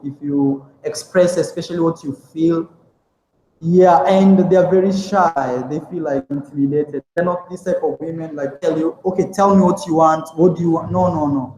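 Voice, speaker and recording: A man's voice: fairly high pitch, moderate pace, mellow, a little hiss or hum. A young man, a guest telling stories in a casual interview, rambling with lots of fillers and restarts. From Kenya. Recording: echoey room with long reverb.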